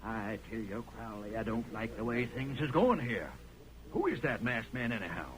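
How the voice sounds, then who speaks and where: An American actor playing a stern, menacing, wealthy crooked town boss in an old-time radio western, speaking curtly. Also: male voice, medium pitch, expressive, normal speed, close to an everyday voice. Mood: curt, demanding. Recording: old radio broadcast, crowd murmur behind. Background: cafe crowd murmur.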